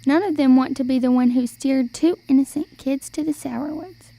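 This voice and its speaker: A high, soft-edged voice for a sly old wandering storyteller woman, acted by a young woman. She speaks in folksy, old-fashioned turns of phrase for an amateur radio play. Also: normal speed, dry recording. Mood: knowing.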